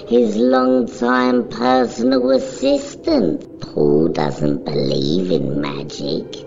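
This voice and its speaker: A narrator reading a motion comic script in a solemn, measured, dramatic storytelling style. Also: low voice, vocal fry, darkish tone. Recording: dull lo-fi sound, music underneath.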